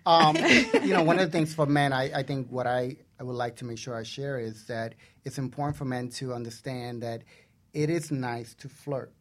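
A man's voice: medium pitch, steady tone, normal speed. A middle-aged man co-hosting a casual radio talk show, speaking earnestly and repeating points for emphasis.

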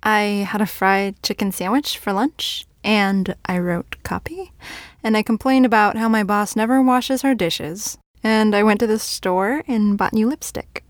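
Woman playing a slow-talking, understated girlfriend. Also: medium pitch, steady tone, no echo, studio quality, natural voice. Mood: playful. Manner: unhurried, understated. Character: reserved, laconic girlfriend with playful streak. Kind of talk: casual audio drama dialogue. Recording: music behind the voice.

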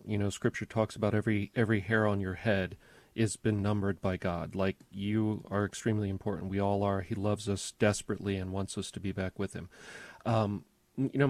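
Earnest low-voiced man explaining calmly. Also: dry recording. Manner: earnest, measured, many fillers. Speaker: co-host, religious expert. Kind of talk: calm explanatory talk.

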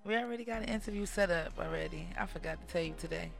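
A woman's voice: lowish voice, racing, creaky, faint music behind. A woman co-hosting a casual show, chiming in with short, upbeat remarks. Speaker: co-host.

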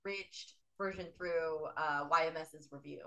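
A woman co-hosting a film podcast, casual and wry, with quick interjections. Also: low-pitched, steady tone, gravelly, pushed and bright, poor audio. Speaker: podcast co-host. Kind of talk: casual chat.

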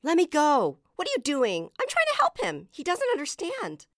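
A woman's voice: high voice, wildly swooping pitch, moderate pace, a bit bright, no room sound, clean studio sound, pitched above the performer's own voice, full character voice. A woman voicing a bold, eager young girl calling out in an audiobook. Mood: indignant. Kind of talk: audiobook character voice.

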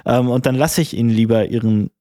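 A man with a cold co-hosts a casual chat, talking in a mellow, relaxed tone. He rambles and restarts his sentences, dropping coarse slang as he goes. The sound is dry and close, with no room around it. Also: medium pitch, fairly quick, no room sound. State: has a cold. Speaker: podcast co-host.

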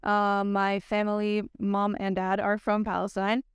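A woman's voice: mid-range voice, monotone, a little slow, smooth, no room sound, studio quality. A young American woman who is a student guest on a podcast, talking in an articulate and earnest way. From United States. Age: young adult. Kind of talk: podcast guest chat and poetry reading.

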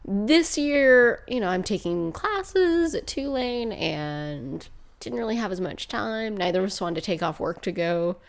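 A soft-voiced woman co-hosting a casual movie podcast, recorded in a living room at home. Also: medium pitch, lively intonation, a little slow, gravelly. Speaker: podcast co-host.

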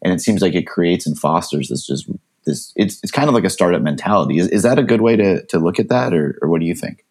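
Deep-voiced man hosting a podcast. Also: expressive, fast talker, darkish tone, husky. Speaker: podcast co-host. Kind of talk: casual interview questions.

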